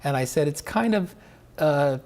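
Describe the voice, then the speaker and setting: An interviewer asking conversational questions with a lively, curious manner. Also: medium pitch, a little slow.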